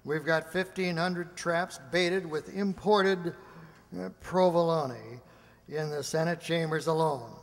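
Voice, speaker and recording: Man playing a high-voiced, earnest government aide. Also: takes it slowly. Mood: sober. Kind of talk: comic science-fiction radio play acting. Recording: echoey hall with long reverb.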